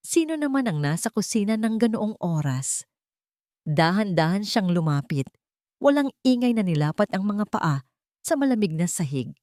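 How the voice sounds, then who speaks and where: A woman's voice: mid-range voice, a little mellow, dry recording, clean studio sound. A woman narrating a scripted dramatic story as a storyteller.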